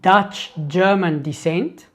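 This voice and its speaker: Slow, deliberate South African man. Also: high-pitched. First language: Afrikaans. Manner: deliberate, earnest, halting with restarts. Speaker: religious YouTuber answering viewer questions. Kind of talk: live-stream Q&A.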